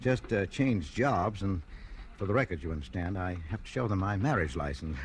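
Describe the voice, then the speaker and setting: An actor playing a nervous, evasive clerk with a hesitant, stammering manner in 1940s radio style. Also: male voice, low voice, animated, moderate pace, slight background noise. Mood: nervous, apologetic. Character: nervous, meek, evasive male clerk inventing a wife. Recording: old radio recording with music.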